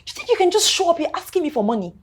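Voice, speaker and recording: A woman's voice: very high-pitched, big pitch swings, racing, heavy background noise. An actress with a Nigerian accent playing a haughty, scheming, hot-tempered young heiress in a film drama, emphatic and repeating her phrases. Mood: angry, flustered. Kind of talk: melodramatic film acting.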